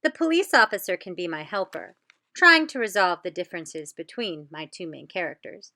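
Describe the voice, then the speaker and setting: A woman teacher presenting a step-by-step lesson for children, with deliberate, encouraging delivery. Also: lowish voice, animated, bright, forceful tone. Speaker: teacher presenting an educational show.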